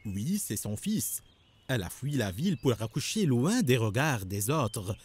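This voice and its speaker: Husky, suspicious rabbi. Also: male voice, medium pitch, very animated, a little slow. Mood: accusing, astonished. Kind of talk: animated religious drama acting.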